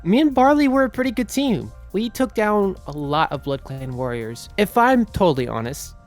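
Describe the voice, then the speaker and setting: Woman voicing a nervous, excitable young cat, lively pitch. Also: soft background music, lightly acted. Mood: cheerful. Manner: hesitant, halting, with bursts of excitement. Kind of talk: improvised in-character fan Q&A.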